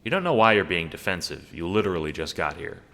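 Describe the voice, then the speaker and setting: Deep, animated male narrator with long reverb. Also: fast talker. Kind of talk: scripted second-person audio drama narration.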